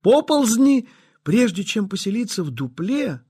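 A man narrating a scripted children's nature story as storyteller, with expressive, deliberate phrasing. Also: sits high, breathy edge.

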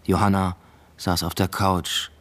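An actor playing a brooding husband and father, narrating a literary radio drama in a measured, introspective way. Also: low-pitched, flat delivery, a bit bright. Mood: reflective.

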